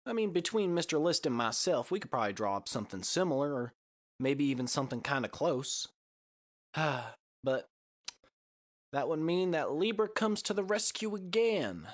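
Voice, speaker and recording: A woman's voice: low-pitched, expressive, moderate pace. A woman voicing a cocky, chatty, folksy male ace pilot in a space audio drama. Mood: breezy. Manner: breezy, boastful, folksy.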